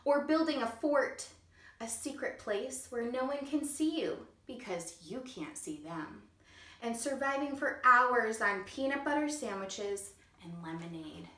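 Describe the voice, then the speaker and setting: Woman, kindergarten teacher, reading aloud to kids; gentle, slightly high voice, echoey room. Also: lively intonation. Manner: gentle storytime reading, pausing to ask children questions. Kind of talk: reading a picture book aloud to children.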